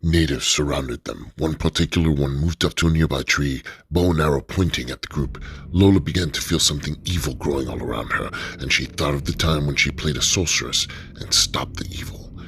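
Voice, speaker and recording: Man, narrator, radio drama, very low pitch, dry close sound. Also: fairly bright tone, breathy edge, no echo. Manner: measured, storytelling. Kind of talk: scripted story narration.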